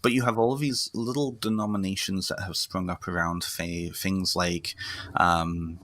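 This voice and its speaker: Male interview guest: low, crisp-edged voice recorded dry, emphatic, talking through ideas conversationally. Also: fairly even intonation, normal speed, a bit bright, dry recording. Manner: emphatic, often checks with "right?". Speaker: interview guest, therapist and lecturer. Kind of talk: conversational explaining.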